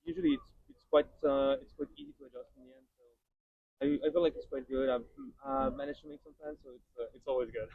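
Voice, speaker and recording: A man's voice: on the high side, huge pitch swings, fast, gravelly, dry recording, narrow, tinny audio. A young man from Italy, answering casually in an interview with hesitant, repeated words. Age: young adult.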